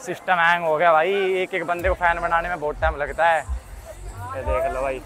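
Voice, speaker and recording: A young man with a fairly high voice vlogs in a loose, excitable way. He speaks into a phone held at arm's length out on the street. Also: brisk, a crowd in the background. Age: young adult. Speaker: vlogger. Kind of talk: casual vlog chat. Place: outdoors on streets and grounds near a large crowd.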